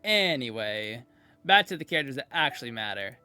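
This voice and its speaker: A man's voice: medium pitch, big pitch swings, a little fast, pushed and bright, clear, resonant tone, dry recording, faint music behind. A man hosting a video in a casual, rambling, informal reaction commentary style. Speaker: video host commenting on game voice lines.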